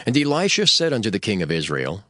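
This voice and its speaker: A man's voice: on the low side, huge pitch swings, quick pace, sharp tone, no echo, studio quality. A man narrating dramatized scripture in a formal, solemn storytelling manner.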